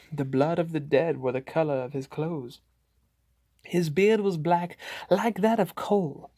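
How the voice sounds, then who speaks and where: A man voicing a frightened father who narrates a scary Christmas poem in a theatrical, ominous, drawn-out manner. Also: fairly high pitch, darkish tone, no echo. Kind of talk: dramatic storybook poem reading.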